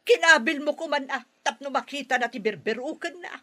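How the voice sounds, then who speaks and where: A haughty Filipino mother in a radio drama. Her voice is very bright, hard-edged and fairly high. She scolds and mocks with scornful authority. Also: normal speed. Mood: mocking. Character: domineering, scornful mother who rejects her son's girlfriend. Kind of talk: Ilocano radio drama acting.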